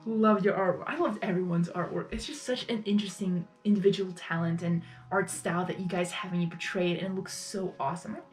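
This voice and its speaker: A woman's voice: lowish voice, fairly even intonation, a little fast, few pauses. Effusive, rambling woman reacting casually. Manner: effusive, rambling, repeats words and phrases. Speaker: reaction video host. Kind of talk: casual live reaction commentary.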